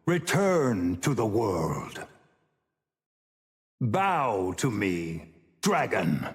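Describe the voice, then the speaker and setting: A man playing an ancient, imperious sage with divine power in a video game, speaking in stern, solemn, grandiose proclamations. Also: medium pitch. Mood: triumphant, commanding. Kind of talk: theatrical game voice lines. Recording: long cavernous reverb on the voice.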